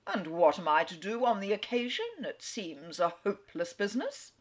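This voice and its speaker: A woman reading aloud as a calm, dryly ironic middle-aged father. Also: mid-range voice, moderate pace, lightly acted. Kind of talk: dramatic reading.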